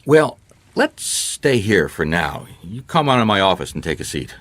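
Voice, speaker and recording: An actor playing a wry, sardonic, world-weary private detective who narrates a noir radio mystery in a folksy, deadpan way. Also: male voice, low voice, moderate pace, dry recording, exaggerated voice. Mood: patient, dry. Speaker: detective and first-person narrator.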